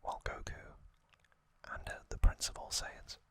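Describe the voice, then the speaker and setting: A man whispering as an ASMR host, telling a story softly in short, halting phrases. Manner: soft, unhurried, halting delivery in short phrases.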